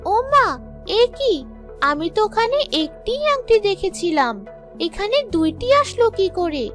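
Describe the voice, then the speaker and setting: A woman voicing a curious, excitable young daughter in a cartoon moral story, exclaiming with wonder. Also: very high voice, slow pace, over music, pitched above the performer's own voice, full character voice. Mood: amazed.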